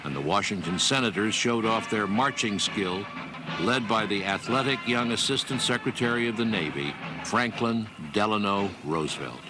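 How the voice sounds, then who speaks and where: A man's voice: fairly low pitch, normal speed, rough recording. A man reading scripted historical documentary narration. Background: music.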